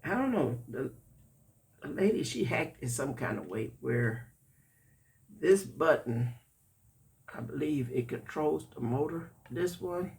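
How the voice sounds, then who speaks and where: Older man in his 60s, congested and raspy, slow folksy vlog chat to viewers. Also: on the high side, expressive, a little mellow. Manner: chatty, folksy, addresses viewers directly. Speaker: vlogger reviewing a product. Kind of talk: casual chatty review.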